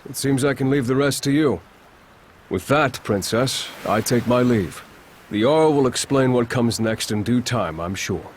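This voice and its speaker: An actor playing a courteous, dutiful war chief in a video game, speaking in a composed and formal manner. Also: male voice, medium pitch, animated, a little hiss or hum, close to an everyday voice. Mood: calm, dutiful. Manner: formal, measured wording. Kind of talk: video game cutscene acting.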